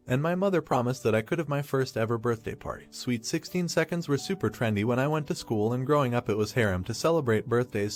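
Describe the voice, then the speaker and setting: A man reading a first-person online story aloud as the narrator of a story channel, in a quick, even delivery. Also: mid-range voice.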